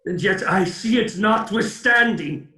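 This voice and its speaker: A doom-laden male phantom for a theatrical radio drama. The voice is high and wailing, with slow, declamatory phrasing. It sounds far off, swathed in heavy echo. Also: bright, forceful tone. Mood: challenging. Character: anguished ghost of a dead businessman, mournful and doom-laden. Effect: cavernous echo on the voice. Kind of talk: theatrical radio play acting. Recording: echoing, distant-sounding voice.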